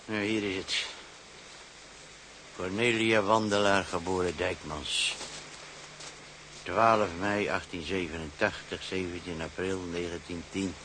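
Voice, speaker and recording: An actor playing a fussy, money-minded village gravedigger who sells headstones with chatty, hesitant, wheedling patter in a period drama. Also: male voice, sits low, slow, long pauses, bright, forceful tone, slight background noise, full character voice, poor audio. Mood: chatty, showing around.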